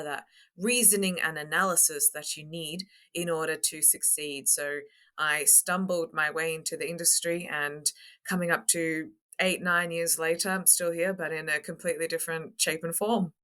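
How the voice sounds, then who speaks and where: A young Australian woman answering interview questions as a guest, articulate and emphatic, with measured phrasing. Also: fairly low pitch, pushed and bright. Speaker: podcast guest, construction coach. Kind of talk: interview talk.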